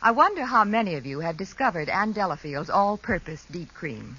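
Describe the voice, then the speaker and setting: Animated woman reading a vintage radio ad. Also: on the low side, husky. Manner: polished, measured sales delivery. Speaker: radio advertisement spokeswoman. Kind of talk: 1940s radio ad read. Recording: muffled vintage radio recording.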